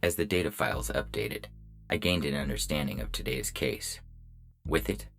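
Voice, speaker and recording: Very deep, gravelly deadpan robot cop voiced by a man. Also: moderate pace, heavily acted. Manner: deadpan, clipped. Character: deadpan robot police officer, hardboiled detective narrator. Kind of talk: scripted noir detective story narration. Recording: music bed behind the voice.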